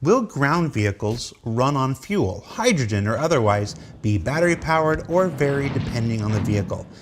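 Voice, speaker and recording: A man's voice: medium pitch, big pitch swings, moderate pace, a little hiss or hum. A man hosting a casual talk show, reading viewer questions and rambling into wry, self-deprecating stories. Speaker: host of a developer panel show.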